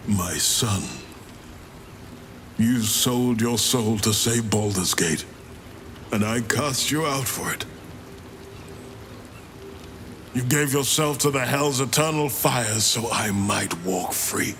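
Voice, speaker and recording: A man's voice: medium pitch, slow pace, fairly bright tone, faint music behind. An actor playing a proud, anguished older grand duke and father, with solemn, formal delivery in a fantasy game. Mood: stricken, remorseful. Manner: solemn, formal, theatrical oratory. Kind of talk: dramatic fantasy game acting.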